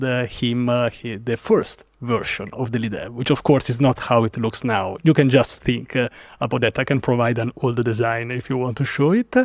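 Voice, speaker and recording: A man from Italy with an Italian accent, telling a story as a podcast guest in an animated way with many fillers. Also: medium pitch, no room sound, studio quality. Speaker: guest developer advocate. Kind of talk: casual podcast interview.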